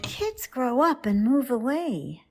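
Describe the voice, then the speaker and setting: A woman playing a practical, reassuring friend in a radio play, matter-of-fact and gently teasing. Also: sits high, big pitch swings, takes it slowly, a little hiss or hum, full character voice. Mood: concerned, reassuring.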